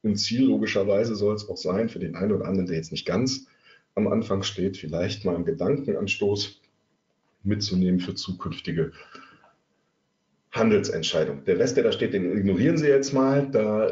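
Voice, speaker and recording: A man in his thirties with a cold leads an online trading webinar, pausing often and filling gaps with hesitations. His voice sits fairly low. The sound is roomy and a little muffled, like webinar audio. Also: darkish tone. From Germany. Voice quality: halting, many filler sounds. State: has a cold. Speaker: trading coach. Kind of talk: webinar presentation.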